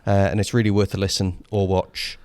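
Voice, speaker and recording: A man's voice: low-pitched, little pitch movement, fairly quick, a little mellow, clean tone. A man with an English accent co-hosting a podcast indoors by a fire, talking in a chatty, jokey way with many fillers. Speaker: podcast co-host. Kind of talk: casual chat.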